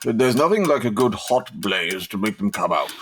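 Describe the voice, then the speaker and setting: Callous period gentleman played by a man, low pitch, blunt, unfeeling, faint noise. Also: lively intonation, moderate pace, close to an everyday voice. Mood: cold, blunt. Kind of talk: period audio drama acting.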